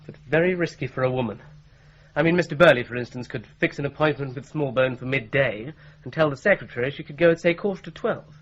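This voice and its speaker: An actor playing an eager young assistant to a police inspector in a radio drama. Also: male voice, mid-range voice, quick pace, lo-fi sound, close to an everyday voice. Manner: eager, hesitant.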